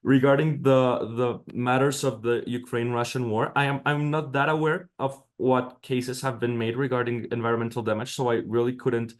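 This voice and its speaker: A man's voice: medium pitch, little pitch movement, moderate pace, hardly any pauses. A man presenter answering questions at an academic workshop, hesitant with many fillers and restarts.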